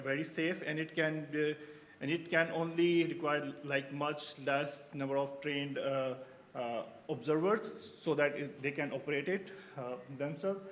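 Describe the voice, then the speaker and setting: Hesitant man from Pakistan giving a conference talk. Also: a little high. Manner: hesitant, many fillers and restarts. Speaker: researcher presenting at a symposium.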